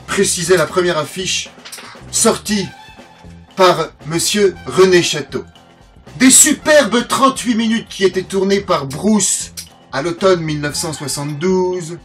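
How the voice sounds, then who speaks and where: A man's voice: high-pitched, expressive, slow pace, fairly bright tone, faint music behind. A French man hosting a channel, narrating film history in a casual, joking way, often stumbling over names and mocking himself. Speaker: channel host and collector.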